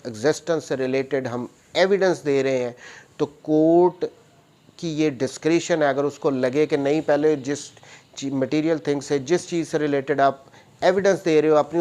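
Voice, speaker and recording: A man's voice: on the high side, fairly even intonation, moderate pace, darkish tone. A man with a Pakistani accent lecturing on law, reading out clauses and explaining them methodically, rephrasing each point.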